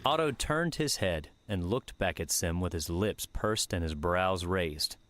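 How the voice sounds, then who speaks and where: A man narrating an audiobook in a measured, steady reading manner. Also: low-pitched. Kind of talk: scripted narration.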